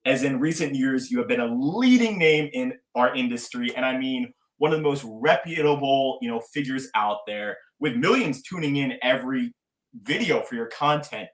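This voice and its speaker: A man's voice: medium pitch, animated, normal speed. Brief: young male host, eager and hyped, echoey room. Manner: enthusiastic, hype-style introductions. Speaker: podcast host. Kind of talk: casual interview talk.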